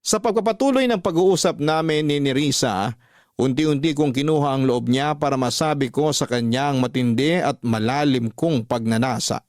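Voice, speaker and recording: An actor playing an unrepentant young womanizer, narrating his love story in a measured, confiding, dramatic way for a radio drama. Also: mid-range voice, darkish tone, dry recording, clean studio sound, lightly acted. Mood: confessional, unrepentant.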